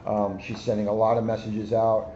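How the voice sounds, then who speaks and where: A man's voice: on the low side, monotone, moderate pace, dark tone. An American man, a police officer chatting informally to local families in a community video. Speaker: police officer reading to children. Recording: dull sound with faint noise.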